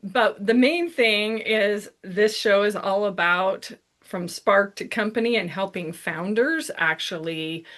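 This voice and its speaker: A woman hosts a podcast interview in an upbeat way and laughs often. She speaks slowly, and her voice is bright. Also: medium pitch. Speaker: podcast host. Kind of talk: casual interview chat.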